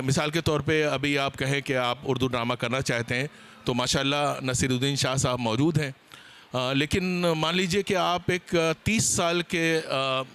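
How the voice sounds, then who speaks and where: A man speaking as a panelist at a seminar, explaining his points in a deliberate, hesitant way. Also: mid-range voice, fairly bright tone, clear, resonant tone.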